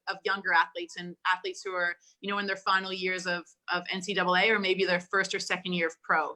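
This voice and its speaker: A woman's voice: mid-range voice, sharp tone, rough recording. A woman in her forties, a volleyball coach from Canada whose accent mixes Canadian and Australian, talking in a chatty, upbeat way over a video call. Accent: mix of Canadian and Australian. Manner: chatty and upbeat, laughs often. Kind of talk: casual interview chat.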